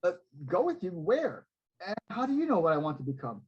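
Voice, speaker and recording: A man's voice: sits high, wildly swooping pitch, brisk, slightly rough, highs cut off, heavily acted. A man reading a story, voicing a startled young fan who stammers nervously. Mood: flustered. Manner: flustered, stammering.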